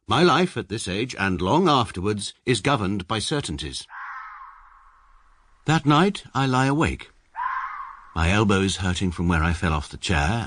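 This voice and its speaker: A man's voice: deep voice, fairly bright tone, no room sound. An actor with an English accent playing a precise, pompous barrister who narrates his own story in a radio drama. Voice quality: measured, dry, pedantic. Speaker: narrator, adult lead character.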